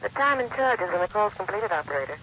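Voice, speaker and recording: A woman playing a brisk, polite long-distance telephone operator in a vintage radio drama, heard over a distant phone line. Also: mid-range voice, lively intonation, noisy background. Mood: brisk, routine. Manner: crisp, routine operator phrasing. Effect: distant telephone-line sound. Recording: dull old radio broadcast recording.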